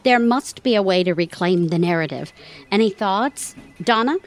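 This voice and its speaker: An actress playing a harried, sardonic older marketing executive with a dry, exasperated manner in an audio theatre comedy. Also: female voice, mid-range voice, animated, moderate pace, natural voice. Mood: bossy.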